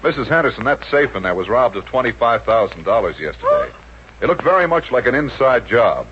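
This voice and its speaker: A man's voice: mid-range voice, wildly swooping pitch, fairly quick. An American man playing a dry, businesslike police sergeant in a 1950s radio comedy. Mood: firm. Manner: dry, matter-of-fact. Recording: dull, hissy old 1950s radio recording.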